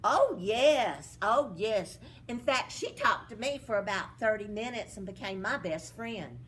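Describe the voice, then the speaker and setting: A woman's voice: medium pitch, animated, measured pace, a bit bright. A woman voicing a gossipy, boastful stepsister who drawls out her bragging.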